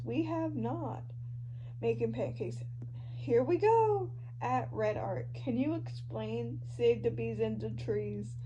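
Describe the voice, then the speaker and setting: High-voiced woman reading fan fiction, noisy recording. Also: muffled recording. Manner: informal, stumbles over words. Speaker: amateur podfic reader. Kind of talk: expressive fan fiction reading of social media posts and narration. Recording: noisy amateur home recording.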